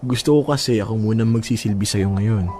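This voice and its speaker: A man's voice: lowish voice, a little fast, noisy background, lightly acted. A man playing a devoted, affectionate young husband in a Filipino radio drama, speaking reassuringly. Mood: gentle, caring.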